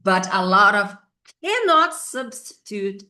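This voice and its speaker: Slow-paced Brazilian woman teaching English. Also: mid-range voice, very animated, sharp tone. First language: Portuguese. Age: middle-aged. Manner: halting, repeats key words, thinks aloud. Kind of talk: informal whiteboard grammar lesson.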